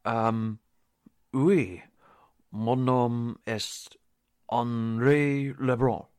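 A man playing a nervous, deferential waiter who poses as a Frenchman, halting, in 1940s radio drama style. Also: mid-range voice, steady tone, a little mellow, smooth, no room sound, studio quality. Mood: flustered.

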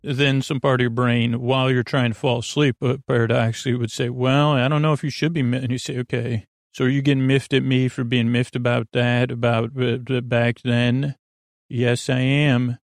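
A man with a creaky, gravelly voice hosting a sleep podcast, rambling through an improvised, lulling bedtime monologue full of hesitant digressions. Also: medium pitch, level tone, normal speed, no room sound, clean studio sound. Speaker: podcast host.

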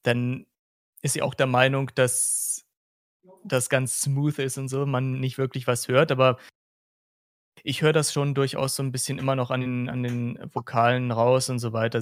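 A man's voice: mid-range voice, level tone, moderate pace, no echo, clean studio sound. A man from Hesse in Germany chats casually as a podcast host, explaining things in a rambling way.

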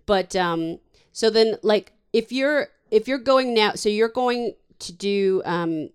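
A woman's voice: mid-range voice, takes it slowly, clean tone. A woman hosting a podcast, chatting casually with a guest, rambling and laughing often. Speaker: podcast host. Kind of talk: casual interview chat.

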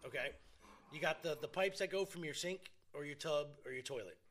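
A man co-hosting a podcast, chatting casually, explaining at length and swearing with animated energy. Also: fairly high pitch, brisk. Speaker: podcast co-host. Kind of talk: casual chat.

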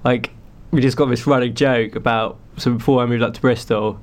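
Young man, gravelly voice, casual rambling chat, songwriter talking shop. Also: medium pitch, fairly even intonation, a little fast. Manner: rambling, filler-heavy storytelling. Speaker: singer-songwriter.

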